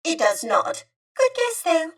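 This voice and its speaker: Video game droid: high-pitched, genteel and talkative, centuries-old lady with theatrical, polite, wistful delivery. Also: big pitch swings, a little slow, distant mic, heavily acted. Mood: warm, curious. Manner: prim, courteous, wistful, theatrical phrasing. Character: genteel, chatty female protocol droid, two centuries old. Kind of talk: scripted video game character acting.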